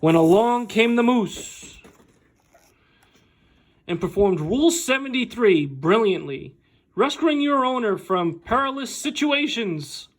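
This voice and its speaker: A man reading a picture book aloud expressively, with animated, deliberate phrasing and dramatic pauses, like a school staff member at storytime. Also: extremely high pitch.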